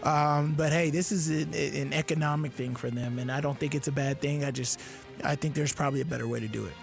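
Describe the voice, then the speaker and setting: A man co-hosting a sports radio show, talking in halting, repetitive bursts and giving blunt opinions. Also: on the high side, fairly even intonation, vocal fry, soft background music. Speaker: fill-in radio co-host. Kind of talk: casual sports radio chat.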